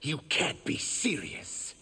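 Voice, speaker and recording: An actor playing an earnest, wounded young reptilian shapeshifter warrior in a video game, speaking with guarded defiance. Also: male voice, slow pace, bright, forceful tone. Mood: bitter, defiant. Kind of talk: video game fight dialogue.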